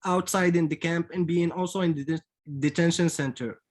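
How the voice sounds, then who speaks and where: A man's voice: a little high, fairly even intonation, brisk. A man on a panel telling his own story plainly and directly, repeating words as he goes. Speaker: panel speaker. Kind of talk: personal account.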